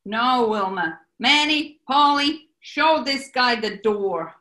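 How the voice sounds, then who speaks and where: Woman as a sharp, bossy New York gangster. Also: on the high side, lively intonation, slow, exaggerated voice. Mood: commanding. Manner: bossy, smooth-talking. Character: bossy, menacing yet genial male gangster club owner. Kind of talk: 1940s radio comedy acting. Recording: echoey room.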